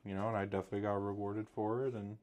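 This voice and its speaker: Casual young man, low voice. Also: level tone, rapid-fire, a little mellow. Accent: Eastern Pennsylvania. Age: 20s. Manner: agreeable, incredulous, many fillers. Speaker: plumbing company employee. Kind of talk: casual business talk.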